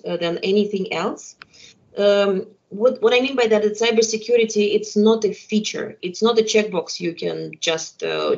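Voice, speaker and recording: A woman in her thirties moderates a panel webinar from home, pausing often with fillers. Her voice sits mid-range and comes through a dull, lo-fi laptop microphone on a video call. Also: medium pitch. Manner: hesitant, many fillers, non-native phrasing. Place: home room with window, indoors. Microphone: laptop webcam video call.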